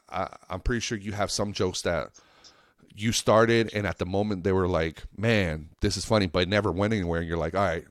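Male podcast comic, low register, dry sound; slangy, sweary, earnest storyteller with a loose rambling flow. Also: no room sound. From United States, Lake Tahoe and Reno area of Nevada. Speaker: stand-up comedian. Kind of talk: casual podcast chat, anecdotal.

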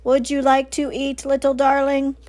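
A woman's voice: sits high, flat delivery, normal speed, voice pushed up, lightly acted. A woman voicing a friendly female robot in a storybook, speaking in a formal, precise, earnest way. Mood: cheerful, booming.

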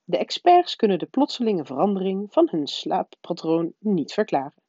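A woman voicing a wry male television newsreader with measured presenter delivery, in a storybook reading. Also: high-pitched, big pitch swings, mellow, no room sound, lo-fi sound, full character voice. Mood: wry, announcing.

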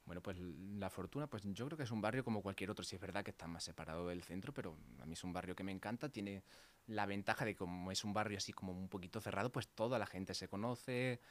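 A man's voice: sits low, fast. A man in his thirties from Extremadura, with an Extremaduran accent, answering interview questions as a school's head of studies in an earnest, hesitant way that repeats words. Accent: Extremaduran Spanish. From Spain, Extremadura.

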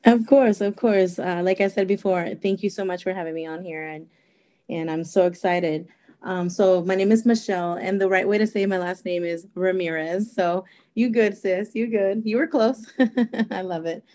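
A woman's voice: medium pitch, steady tone, normal speed. A woman in her thirties from Costa Rica, raised in California, telling her life story with a laugh in her voice. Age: 30s. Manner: bubbly, laughs often, warm and open. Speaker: guest sharing testimony. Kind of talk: casual interview, personal testimony.

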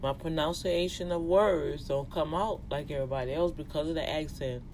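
A podcast host with a Louisiana accent who talks in a slow, deliberate teaching manner during a casual monologue. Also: medium pitch, darkish tone, a little hiss or hum. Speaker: podcast host and tax office manager.

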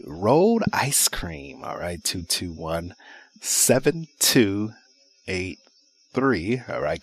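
A man hosting a live radio shopping show from a studio, rattling off deals in an upbeat sales patter and repeating the call-in number. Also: on the low side. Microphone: studio microphone.

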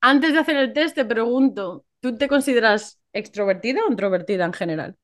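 A woman's voice: fairly high pitch, expressive, fairly quick. A woman from hosting a casual podcast, chatty and often checking for agreement with little tag questions. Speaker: podcast host.

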